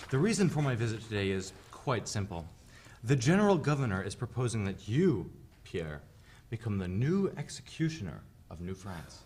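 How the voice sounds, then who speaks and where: A man's voice: mid-range voice, a little hiss or hum, lightly acted. A man playing a coldly polite colonial government envoy in a dramatic play reading, formal and condescending, with hesitant phrasing. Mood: polite, businesslike.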